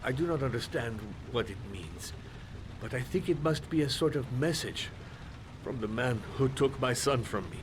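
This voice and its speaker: An actor playing a grieving shopkeeper and father who speaks in a formal, halting, earnest way. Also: mid-range voice, lively intonation, noisy background, natural voice. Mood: sorrowful earnest. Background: game music.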